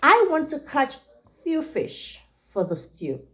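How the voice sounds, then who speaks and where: A woman's voice: sits high, wildly swooping pitch, slow, voice pushed up, exaggerated voice. A woman voicing a fat, proud little male rabbit who talks eagerly and politely in a children's storybook.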